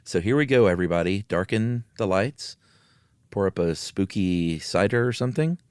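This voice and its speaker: Low-voiced man, close dry sound. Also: expressive, moderate pace, darkish tone, smooth, no echo. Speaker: podcast host. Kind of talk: casual chat and dramatic story reading.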